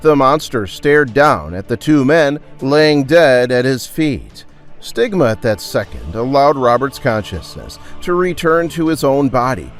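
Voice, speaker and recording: A man working as a storyteller, reading scripted dramatic narration with theatrical, emphatic pauses. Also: mid-range voice, very animated, faint music behind.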